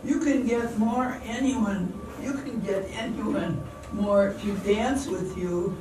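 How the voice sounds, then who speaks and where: A woman's voice: mid-range voice, takes it slowly, natural voice. A woman playing a naive, eager but self-doubting young small-town dancer in a theatrical amateur radio drama, hesitant and wide-eyed. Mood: wistful, admiring. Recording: very echoey hall, distant mic, background noise.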